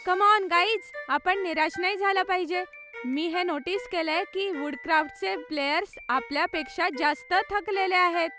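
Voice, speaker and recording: An actor plays a bright schoolgirl in a children's cartoon. Her voice is extremely high, and she speaks with confidence and encouragement, like a born team strategist. Music plays clearly behind her. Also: moderate pace. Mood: rallying, determined.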